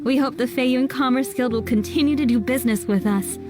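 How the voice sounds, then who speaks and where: A woman's voice: sits high, fairly quick, over music, lightly acted. A woman playing a polite, businesslike, slightly flustered merchant in a video game. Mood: curious, businesslike. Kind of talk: English video game voice acting.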